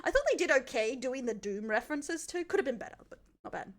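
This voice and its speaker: High, animated, giggly Australian woman. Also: fast. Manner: giggly, blunt, crude joking. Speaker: streamer reacting to a video. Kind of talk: casual livestream chat.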